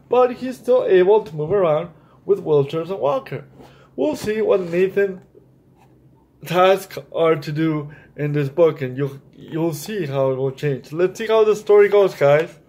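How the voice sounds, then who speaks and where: Male children's storytime host: notably high voice, unhurried read-aloud delivery, speaking straight to kids. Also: darkish tone. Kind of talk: animated read-aloud of a picture book with explanatory asides.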